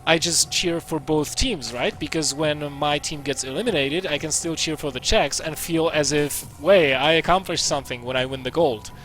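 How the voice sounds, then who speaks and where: A man's voice: fairly high pitch, moderate pace, few pauses, fairly bright tone, rough recording. A man from Slovakia casting a video game match in a casual, chatty way, with many fillers and restarts. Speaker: esports caster. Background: faint music.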